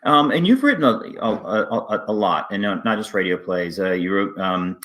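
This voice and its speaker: A man hosting a casual interview, speaking haltingly and often restarting his sentences. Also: fairly low pitch, animated, fairly bright tone. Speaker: interview host, theater artistic director.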